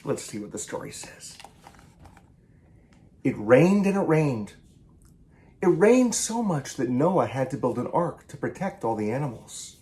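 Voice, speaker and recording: A man, a children's author, reading a picture book aloud in an animated, theatrical and emphatic way. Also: mid-range voice, unhurried, pauses often. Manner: theatrical, emphatic, with varied delivery.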